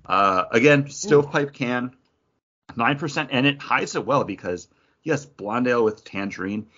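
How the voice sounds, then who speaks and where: A man's voice: mid-range voice, normal speed, a bit bright, muffled recording. A man hosting a podcast, giving a casual review in a conversational way with slangy asides. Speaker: podcast host.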